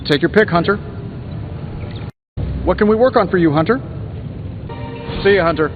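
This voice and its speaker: Guy doing a cocky wisecracking genius superhero, game dialogue, slightly high voice, muffled with music behind. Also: normal speed, natural voice. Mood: breezy, teasing. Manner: sarcastic, glib, wry. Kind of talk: video game dialogue, quippy banter.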